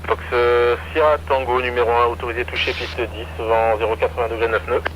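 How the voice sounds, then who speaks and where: A man working as an air traffic controller, giving instructions over aviation radio with hesitant, halting phrasing. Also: medium pitch, flat delivery, a bit bright. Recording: noisy aviation radio with aircraft drone.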